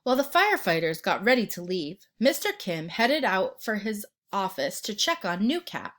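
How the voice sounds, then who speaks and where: Expressive woman reading a children's story. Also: medium pitch. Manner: pauses to ask the listener questions and point out pictures. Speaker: read-aloud storyteller. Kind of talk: expressive children's picture book narration.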